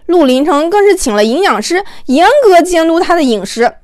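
A woman narrating an audiobook in an expressive, conversational storytelling style. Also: extremely high pitch, normal speed, no room sound, studio quality.

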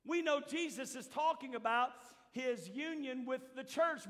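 An older man preaching a sermon as pastor to his church, shifting from quiet asides to shouted points. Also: extremely high pitch. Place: large church hall with a seated congregation. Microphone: handheld mic, roaming the stage. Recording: long hall reverb.

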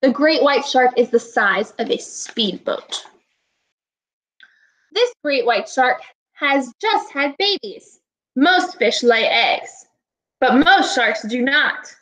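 A woman in her twenties, a teacher giving a read-aloud lesson to young children in a deliberate, instructive way. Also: high-pitched, animated, fairly bright tone, low-quality recording. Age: 20s. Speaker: elementary school teacher. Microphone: laptop webcam at arm's length.

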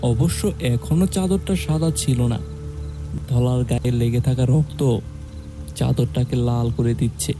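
A young man narrating a horror story in a steady, measured way. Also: mid-range voice, over music.

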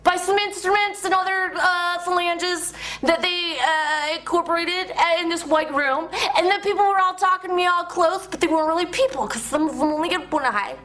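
Woman acting a high-pitched, giddy alien enthusiast. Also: bright, forceful tone. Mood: excited. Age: young adult. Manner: giddy, earnest, halting. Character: nerdy, excitable female UFO enthusiast claiming alien abductions. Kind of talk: comedy character sketch.

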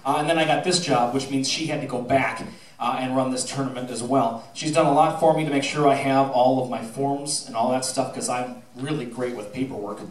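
A middle-aged man giving an informal speech on stage as a theatre director, telling anecdotes in an animated, projecting way. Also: medium pitch, brisk. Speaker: high school theatre director. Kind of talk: informal speech to an audience, with anecdotes and thank-yous. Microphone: handheld microphone through PA, filmed from the audience. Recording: roomy, distant audience-camera recording.

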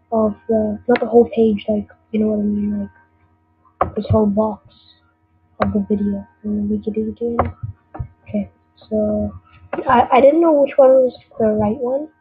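A woman's voice: on the high side, narrow, tinny audio. Rambling young gamer woman. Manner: rambling, hesitant, many fillers. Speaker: gameplay commentator. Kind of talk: casual let's play commentary. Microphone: computer mic, screen recording. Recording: game music behind.

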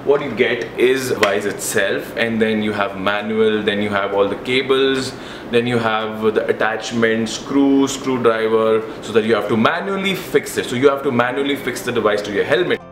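Male vlogger, twenties, Indian accent, mid-pitched voice, casual gadget review in an echoey room with noticeable background noise. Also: normal speed. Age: 20s. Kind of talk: casual product review to camera. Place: indoors in a living room at home. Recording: roomy echo with clear background noise.

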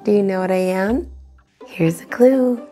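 A woman's voice: mid-range voice, a little slow, mellow. Young lady doing kids storytime, upbeat and encouraging, bit of music in the background. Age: young adult. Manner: encouraging, addresses children directly. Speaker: children's storytime host. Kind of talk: lively instruction and read-aloud for young children.

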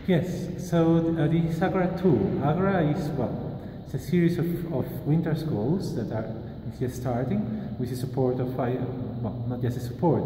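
A man in his thirties from Peru speaks in a very dark, mellow voice, pausing and restarting as he thinks. He is recorded on a phone held at arm's length in a large empty classroom. The room adds a long, roomy reverb and a faint hiss. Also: mid-range voice. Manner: thoughtful, with many restarts and fillers. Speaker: mathematician, school organizer. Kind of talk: casual interview answers. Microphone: phone camera at arm's length.

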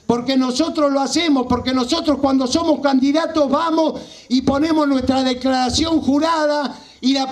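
A man in his fifties with a Rioplatense Argentine accent delivers an impassioned political speech, emphatic and repeating phrases for effect. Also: extremely high pitch. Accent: Rioplatense Argentine Spanish. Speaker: local party politician. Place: small outdoor rally stage at night. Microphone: handheld microphone.

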